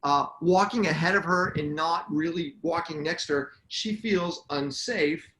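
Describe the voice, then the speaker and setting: A middle-aged man co-hosting a relationship talk show, jokey and animated, prompting his partner to explain. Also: a little high, a little slow, slight background noise. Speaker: podcast co-host. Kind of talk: casual chat. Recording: echoey room, faint ambience.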